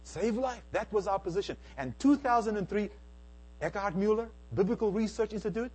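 A middle-aged man from South Africa giving an emphatic church talk, swinging between hushed and loud. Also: high-pitched, a little fast, slightly rough. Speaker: speaker at a Bible Q and A. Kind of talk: lecture-style church talk.

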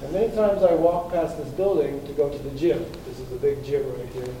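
A man's voice: medium pitch, fairly even intonation, a little fast, mellow, recorded from a distance, slight background noise. American man speaking from across an echoey room. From United States. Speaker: guest speaker. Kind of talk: personal testimony.

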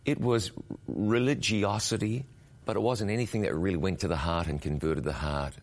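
A middle-aged man with a very deep voice. He tells his story as an interview guest, earnest and reflective. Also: fairly even intonation, moderate pace. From New Zealand. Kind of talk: interview conversation.

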